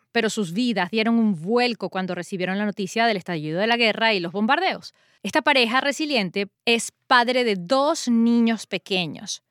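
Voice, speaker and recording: A woman radio presenter reading a news segment in Spanish with a measured delivery. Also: fairly high pitch, fairly bright tone, dry recording, clean studio sound.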